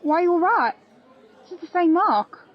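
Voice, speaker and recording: A woman's voice: very high voice, lively intonation, a little slow, dull audio. A woman playing a loyal, plain-spoken pirate crew member in an audio play, telling her story earnestly in old seafaring dialect. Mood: indignant. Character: loyal, plain-spoken pirate crewwoman confiding a grave secret.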